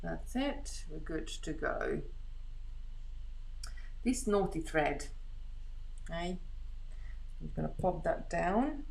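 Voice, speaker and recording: Middle-aged woman from Hungary, lowish creaky voice, casual craft-stream chat, rambling, thinking aloud. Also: lively intonation, takes it slowly, pauses often, slight background noise, low-quality recording. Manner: rambling, chatty, thinking aloud while working with her hands. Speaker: host of a live beading craft stream.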